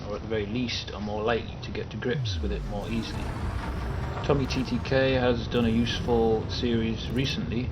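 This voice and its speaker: Low-voiced northern English man explaining slowly. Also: noisy background. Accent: northern English, with southern English at times. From England. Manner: measured, explanatory, wordy with careful qualifications. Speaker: video game guide maker. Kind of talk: partly read-out tutorial narration.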